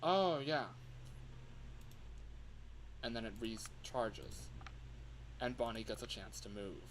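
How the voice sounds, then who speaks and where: A man's voice: medium pitch, animated, takes it slowly. A man chatting casually on a livestream as he shows a game he is making, thinking aloud and repeating his phrases. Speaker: livestream host and game developer. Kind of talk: casual livestream chat while demonstrating a game in development. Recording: clear background noise with clicking.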